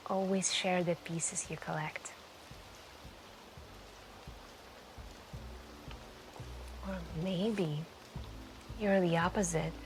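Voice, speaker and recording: Charming café stranger woman actor, soft ASMR storyteller, unhurried and lower-pitched, musing and gently flirtatious, music and rain behind. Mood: musing, intrigued. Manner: slow, musing, gently flirtatious, pausing between phrases. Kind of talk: soft-spoken ASMR roleplay, intimate storytelling. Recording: voice over music and falling rain.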